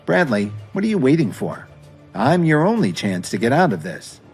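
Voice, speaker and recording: Man as a self-assured, animated hero. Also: mid-range voice, normal speed, husky, soft background music. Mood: defiant. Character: calm, defiant young male hero, self-assured. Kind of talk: audio drama acting.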